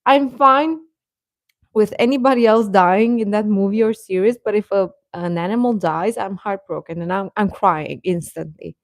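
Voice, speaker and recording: A woman's voice: mid-range voice, normal speed, dry recording, clean studio sound. A young adult woman hosting a live stream, chatting informally with her viewers and often chuckling as she talks.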